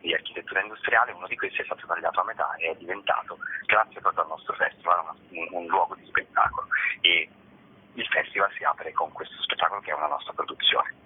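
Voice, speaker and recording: A man's voice: sits low, fairly even intonation, quick pace, husky. A man speaking as a festival director in a radio phone interview, hesitant and often repeating himself as he searches for words. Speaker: festival artistic director, guest. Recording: muffled phone line on radio.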